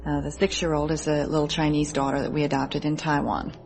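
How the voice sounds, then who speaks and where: Woman, thirties, Midwestern American, low register, earnest and articulate radio interview guest. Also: level tone, fairly quick, creaky, darkish tone, faint music behind. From Midwest United States. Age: 30s. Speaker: interview guest, military wife. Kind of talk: radio interview, answering questions.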